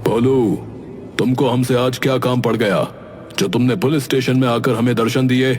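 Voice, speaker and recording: A man playing an unhurried cartoon police officer with a wry, questioning manner. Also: medium pitch, fairly even intonation, darkish tone, close to an everyday voice. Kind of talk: animated cartoon character acting. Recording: music bed under the voice.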